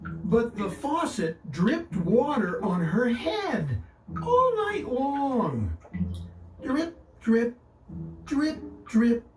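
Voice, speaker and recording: A woman narrating a children's picture book deliberately and expressively, with dramatic pauses. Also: fairly high pitch, huge pitch swings. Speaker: guest story reader. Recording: roomy distant voice under loud music. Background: loud instrumental music.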